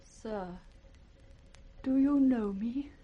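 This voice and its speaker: A devoted young princess in a British radio Shakespeare. Her voice is fairly high, soft and breathy. She speaks very slowly, with hushed tenderness. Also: highs cut off, heavily acted. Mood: hushed, anxious. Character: gentle, devoted princess, daughter of an old king.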